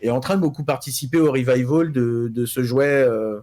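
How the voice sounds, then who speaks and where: A man chatting casually as a panellist on a pop-culture news show, halting and repeating words as he builds his sentences. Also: medium pitch, warm tone. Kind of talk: casual group chat.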